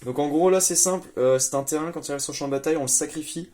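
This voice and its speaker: Relaxed young man explaining a card deck. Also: a little high, very fast. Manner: laid-back, hesitant with many fillers. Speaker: deck presenter. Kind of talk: casual card game deck tech chat.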